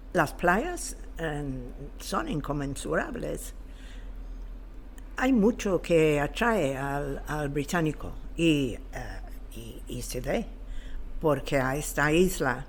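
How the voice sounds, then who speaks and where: Older woman, slow and halting. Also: low-pitched, a little hiss or hum. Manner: halting, searching for words. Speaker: podcast guest. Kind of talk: interview answers, reflective.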